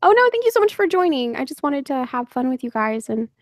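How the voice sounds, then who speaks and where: A young woman hosts a loose, joking chat with friends, often sarcastic and quick to laugh. Her voice sits a little high, and the recording is dry and close with no room sound. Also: very animated, no room sound. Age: 20s. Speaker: host. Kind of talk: casual livestream chat with guests.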